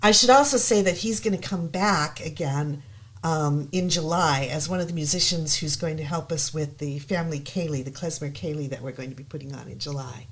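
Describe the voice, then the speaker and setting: Low-voiced woman, hesitant and eager. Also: a little fast. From United States. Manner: hesitant, many ums, enthusiastic. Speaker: guest. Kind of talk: casual chat.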